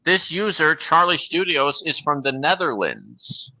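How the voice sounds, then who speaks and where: Bright, higher-pitched man giving earnest commentary. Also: sing-song, measured pace, low-quality recording. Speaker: livestream host. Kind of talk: casual commentary on videos. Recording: music playing behind the voice.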